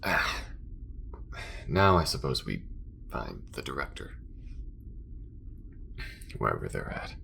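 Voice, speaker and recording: A man playing a casual, protective spacefaring crewmate in an ASMR sci-fi roleplay, hushed, unhurried and musing. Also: very deep voice, very animated, gravelly, a little hiss or hum, exaggerated voice. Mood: casual, pensive.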